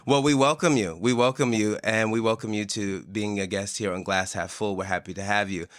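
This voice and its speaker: A man's voice: lowish voice, fairly even intonation, a little fast, fairly bright tone, no echo, clean studio sound. A man hosting an interview podcast, giving short affirming reactions and framing questions around spiritual design. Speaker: podcast host. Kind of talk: casual interview conversation.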